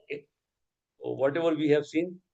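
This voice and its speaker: A man's voice: a little high, normal speed, creaky, warm tone, highs cut off. A man whose first language is Urdu, lecturing teachers over a video call and often checking in with "okay" and "right?". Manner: explanatory, frequent "okay" and "right?" check-ins. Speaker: workshop resource person.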